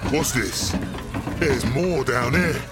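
A man playing a big, dim, boastful orc boss in an audio drama, with a blustering working-class London accent and gravelly voice. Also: mid-range voice, animated, takes it slowly, over loud music. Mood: alarmed, blustering. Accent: English, working-class London. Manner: blustering, rough ungrammatical speech.

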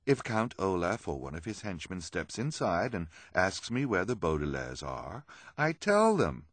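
A man voicing an ashamed, tearful woman speaking softly without any accent. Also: low voice, very animated, no room sound, exaggerated voice. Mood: sad explaining. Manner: soft, unaccented, halting. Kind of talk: audiobook character voice.

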